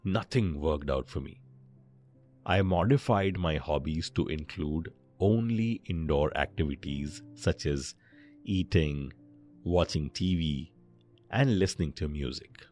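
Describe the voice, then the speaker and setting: A man narrating a scripted bedtime story in short, measured phrases with pauses between them. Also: extremely low pitch, a little mellow, soft background music.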